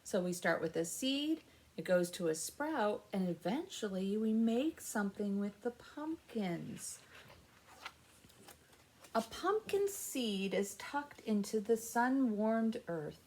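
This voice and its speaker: A woman hosting story time for young children, reading aloud with expressive emphasis and explaining things simply with questions to the listeners. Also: medium pitch, takes it slowly.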